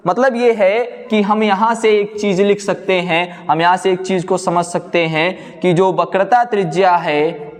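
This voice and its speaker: Male coaching-class teacher, slightly high voice, explains step by step with question-and-answer phrasing, recorded in a reverberant room. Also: a little mellow. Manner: asks questions and answers them himself, repeats key phrases for note-taking. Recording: echoey hall-like room reverb.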